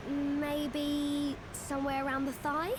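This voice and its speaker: Gentle young game heroine, high voice, slow n tentative, music behind. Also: female voice, completely flat delivery, background noise. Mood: hesitant. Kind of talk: video game cutscene acting.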